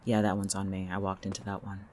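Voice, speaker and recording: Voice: low-pitched, completely flat delivery, fast talker, a little mellow, slight background noise, lightly acted. An actor in a fiction podcast playing a guarded, irritable homebody who is curt, sarcastic and exasperated.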